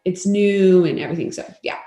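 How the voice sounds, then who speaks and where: A woman's voice: on the low side, fairly quick, mellow, soft background music. Chatty young woman in echoey room. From Texas. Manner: frank and chatty, hesitant "um" fillers. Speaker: guest, second-year law student. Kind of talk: casual interview chat.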